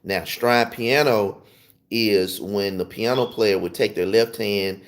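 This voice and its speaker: A man's voice: on the low side, takes it slowly. A man with a Southern US accent giving an informal music lesson, emphatically repeating and rephrasing his points. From Memphis, Tennessee, southern US. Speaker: music teacher. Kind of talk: informal instructional talk to viewers.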